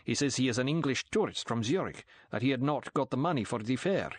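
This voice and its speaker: A man's voice: medium pitch, expressive, slightly rough, no echo. A man voicing a stiff, clipped Slavic guard. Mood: dutiful. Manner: rapid, clipped report. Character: stiff Slavic male guard reporting to his master.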